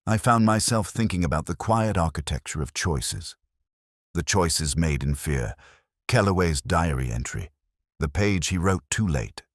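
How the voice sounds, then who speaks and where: A man playing a brooding, world-weary police inspector who narrates his case in solemn, measured, literary prose, like a melancholy detective audio story. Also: sits low, slightly rough, no room sound, studio quality, full character voice. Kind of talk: scripted first-person detective story narration.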